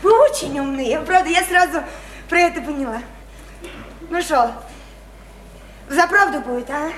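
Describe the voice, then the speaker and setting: A woman's voice: sits high, a little slow, a bit bright. An actress in a Russian radio play playing a naive, chatty, insistent provincial woman, eager and coaxing, with colloquial, repetitive talk. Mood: coaxing, admiring. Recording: roomy, slightly noisy radio play recording.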